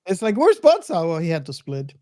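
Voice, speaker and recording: A man's voice: sits high, huge pitch swings, fairly quick, crowd noise. A man co-hosting a podcast in casual, conversational talk. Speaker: podcast co-host. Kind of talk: casual chat.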